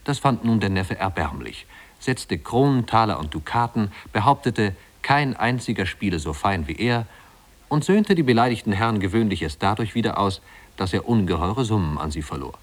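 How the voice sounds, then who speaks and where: Guy narrating old german radio play, low voice, composed articulate storytelling. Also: big pitch swings, moderate pace.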